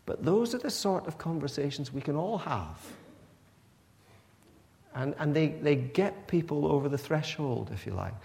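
A man's voice: a little high, expressive, moderate pace, vocal fry, a little mellow, slight background noise. Guy born in pakistan giving a sermon, earnest and chatty, keeps restarting, echoey church hall. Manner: earnest, conversational, with frequent restarts. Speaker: preacher.

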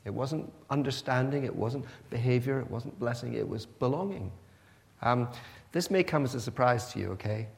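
A man's voice: mid-range voice, normal speed, creaky. Earnest man preaching in a reverberant hall. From Pakistan. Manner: earnest, conversational, with frequent restarts. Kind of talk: sermon.

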